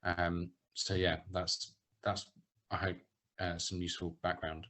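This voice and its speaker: A man speaking informally and haltingly as a panel speaker, explaining things casually at an online event. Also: deep voice, little pitch movement, fairly bright tone. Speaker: panel speaker, theatre producer. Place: online video call.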